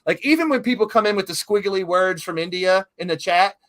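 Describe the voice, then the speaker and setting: A man's voice: high-pitched, brisk, fairly bright tone. A middle-aged man hosting a talk show interview, chatting casually in an enthusiastic, emotional, rambling way.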